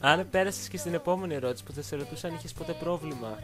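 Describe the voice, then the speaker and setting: A woman conducts a casual interview. She asks informally and encourages the guest with short interjections. Music is clearly audible behind her. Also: sits low.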